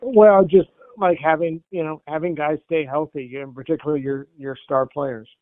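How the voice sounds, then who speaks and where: A man's voice: a little high, steady tone, normal speed, dry recording. A man asking press questions as a sports reporter, hesitant and roundabout, over a phone line. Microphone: phone conference call. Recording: narrow phone line, dry.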